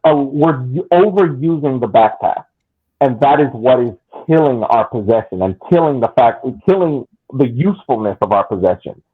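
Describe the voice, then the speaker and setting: A man with a husky, slightly rough voice talks through a soccer match on a podcast. He builds long, argumentative points. The sound is thin and phone-like. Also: mid-range voice. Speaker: podcast co-host. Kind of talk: casual soccer analysis chat.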